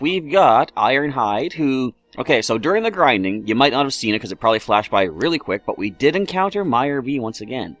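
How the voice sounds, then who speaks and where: A man hosting a game playthrough in a chatty, rambling way, his voice slightly raspy and broken by throat-clearing. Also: medium pitch, a bit bright, no echo. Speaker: let's play host. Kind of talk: casual game commentary.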